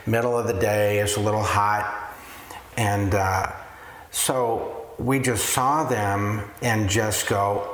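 Laid-back guy telling a story real slow, echoey room, slight hiss. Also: on the low side, fairly even intonation, a bit bright. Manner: slow, chatty, affirming with many yeahs. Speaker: ministry guest. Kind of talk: casual storytelling.

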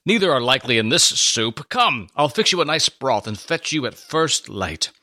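A man playing an anxious, gruff but fondly protective old man in a dramatic Bible story reading. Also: medium pitch, sing-song, moderate pace, sharp tone, no room sound, clean studio sound, pitched above the performer's own voice. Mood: fond scolding. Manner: scolding, pleading, protective.

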